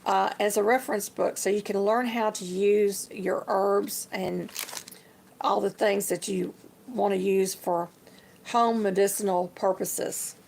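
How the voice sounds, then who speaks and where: Woman, older, slightly hoarse, gravelly, chatty livestream host, faint background hiss. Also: mid-range voice, fairly even intonation, measured pace, darkish tone. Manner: chatty, homey, addresses viewers as y'all. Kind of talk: casual livestream show-and-tell.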